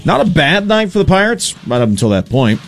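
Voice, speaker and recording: Man, sports update, lively intonation, dry wry humor, radio studio. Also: on the high side, faint music behind. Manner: dry, wry, quick to joke. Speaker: sports reporter and columnist.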